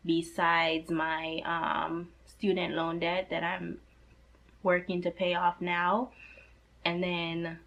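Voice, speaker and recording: A young woman in her twenties speaks straight to camera in a relaxed, chatty vlog, her voice a touch hoarse, as if she is starting to lose it. She sits in a slightly low register and keeps the tone earnest, peppering her sentences with "like" and "you know". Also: muffled recording. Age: 20s. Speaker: personal finance vlogger. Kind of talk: casual talk to camera.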